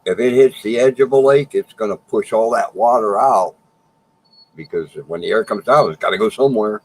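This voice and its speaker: A man's voice: on the low side, moderate pace, a little mellow, low-quality recording. A man co-hosting a livestream who explains weather in a plain, matter-of-fact way. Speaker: livestream co-host. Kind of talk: casual chat.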